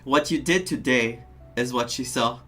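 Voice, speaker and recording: A man streaming a video game and commenting casually in a middle-pitched voice. He is reflective and empathetic, often repeating a phrase to underline it. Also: unhurried, over music.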